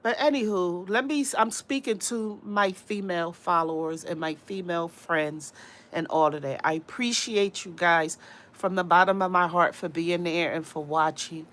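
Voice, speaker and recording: A woman's voice: fairly low pitch, normal speed, fairly bright tone. A woman with a cold and a congested voice, rambling in casual chat to her livestream viewers. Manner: rambling, talks straight to viewers. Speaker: livestream host.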